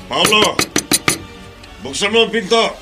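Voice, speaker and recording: A man's voice: sits high, expressive, measured pace, over loud music. A man playing a suspicious, commanding police chief in a horror radio drama, firm and authoritative.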